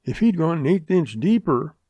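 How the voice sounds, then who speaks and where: A man's voice: on the high side, sing-song, normal speed, warm tone, slightly rough, no echo, pitched above the performer's own voice. A man voicing a folksy, frank older man with rustic Western phrasing in an audiobook. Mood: grateful.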